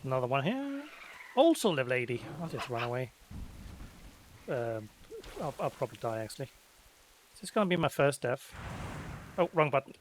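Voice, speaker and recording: A man's voice: medium pitch, big pitch swings, moderate pace, long pauses, a little hiss or hum. A man giving casual gameplay commentary, musing aloud to himself as a let's player.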